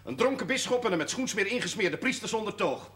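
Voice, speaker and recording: Admonishing parish priest, dignified, lively intonation, faintly noisy old television soundtrack. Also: male voice, high-pitched, quick pace. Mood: indignant. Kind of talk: television period drama acting.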